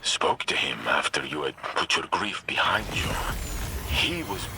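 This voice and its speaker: Slow, solemn male robot warrior. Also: extremely low pitch, sing-song, background noise, exaggerated voice. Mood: hesitant, reflective. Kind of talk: scripted video game dialogue. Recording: music behind.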